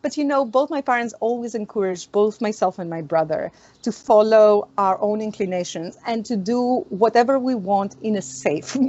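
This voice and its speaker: A woman tells stories in an animated way and often laughs as she answers interview questions. The sound is dry and close, with no room echo, but comes through as a muffled video call. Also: medium pitch. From Greece. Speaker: astronomer, guest.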